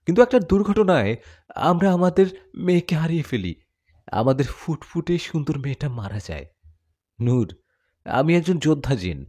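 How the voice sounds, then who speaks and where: Devoted warrior jinn acted by a male storyteller, earnest and confessional, mid-range, very expressive melody. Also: medium pitch. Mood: sorrowful.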